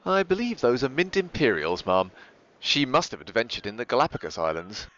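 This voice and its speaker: A man playing a dignified, deferential butler with a formal, deadpan manner in a comic audio drama. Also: medium pitch, brisk. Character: dignified, deferential male butler, easily flustered. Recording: dull lo-fi podcast recording.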